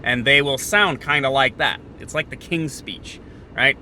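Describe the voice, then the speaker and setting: A man hosting a podcast, thinking out loud in a sardonic, opinionated political monologue that moves between halting pauses and bursts. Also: medium pitch, slight background noise. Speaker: podcast host.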